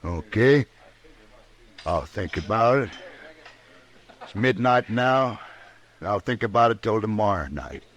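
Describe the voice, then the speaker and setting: An actor playing a sly, vengeful cowboy with a folksy, drawling, insinuating delivery, in 1950s radio western style. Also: male voice, on the low side, expressive, slow, pauses often, fairly bright tone, exaggerated voice. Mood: quietly menacing. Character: vengeful, sly cowboy feigning easygoing indifference.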